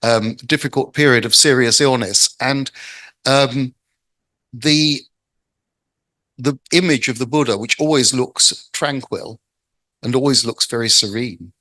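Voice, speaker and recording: A man, the invited speaker and author, giving a measured, thoughtful talk with many ums. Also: mid-range voice, pushed and bright, no room sound. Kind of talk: talk on Buddhist scripture.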